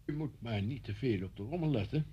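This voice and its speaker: A man acting a flustered, halting householder who is anxious to oblige, in a radio play. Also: mid-range voice, lightly acted. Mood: uneasy, polite. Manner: hesitant, halting. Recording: dull lo-fi radio play, faint noise.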